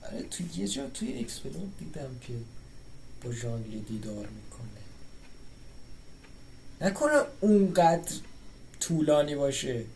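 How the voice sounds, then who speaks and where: Very fast-talking, casual game streamer. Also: mid-range voice, lively intonation, a little mellow, slight background noise, rough recording. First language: Persian. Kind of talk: casual gameplay commentary.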